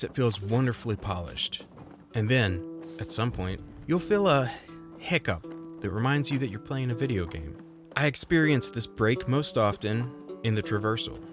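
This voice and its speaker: A man's voice: mid-range voice, a bit bright. Man narrating a game review over music. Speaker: video game reviewer and host. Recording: music behind the voice.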